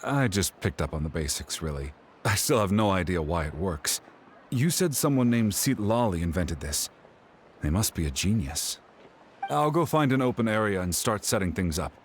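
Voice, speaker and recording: Low-voiced, weary, guarded inventor. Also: male voice, sing-song, slight background noise. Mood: focused, explanatory. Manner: measured, explanatory, resigned. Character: weary, guarded young male inventor, quietly intense and knowledgeable. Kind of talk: video game voice acting. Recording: faint music under the voice.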